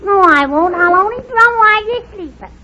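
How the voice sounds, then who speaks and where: A woman's voice: very high-pitched, expressive, normal speed, sharp tone, exaggerated voice. A woman playing a bratty, whiny little girl in a 1930s radio comedy. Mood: stubborn, demanding. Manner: whiny, stubborn. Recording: dull lo-fi 1930s radio recording.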